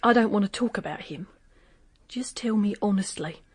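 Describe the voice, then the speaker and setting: A woman acting a secretive, weary lover in a radio drama. Her medium-pitched voice is tense and evasive, in short urgent phrases. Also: moderate pace, slightly rough, lightly acted.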